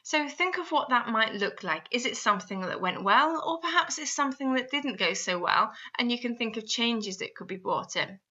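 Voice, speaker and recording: A woman's voice: fairly high pitch, lively intonation, moderate pace, hardly any pauses, sharp tone. A woman narrating a scripted instructional guide, speaking straight to the listener and often posing guiding questions. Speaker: instructor narrating a guidance video. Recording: slightly dull, lo-fi voice-over.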